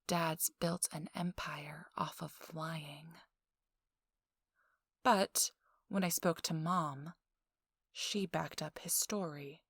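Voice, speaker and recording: Feisty young socialite played by a woman for ASMR audio drama: lowish, creaky, sly, self-assured, close and intimate. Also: fairly even intonation, dry recording, heavily acted. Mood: wry, confiding. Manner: slow, teasing, dry sarcasm, drifting into whispers.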